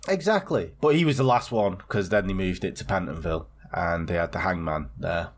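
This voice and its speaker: Podcast co-host, male, lowish voice, loose, rambling, sweary storyteller. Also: fairly quick. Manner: rambling and swearing, with many restarts. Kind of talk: casual chat.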